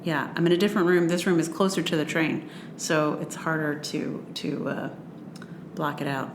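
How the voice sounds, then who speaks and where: A woman's voice: low-pitched, fairly even intonation, moderate pace, slight background noise. A woman hosting a solo podcast in a casual, rambling way, often interrupting herself with asides. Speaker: podcast host. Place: indoors, a room near a train line. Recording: roomy, echoey home room.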